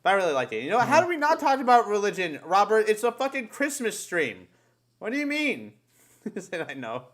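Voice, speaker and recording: A man hosting a live stream, chatting casually with friends in an animated, slangy way with lots of swearing. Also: high voice, a little fast. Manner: animated, heavily profane, slangy. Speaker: live stream podcast host.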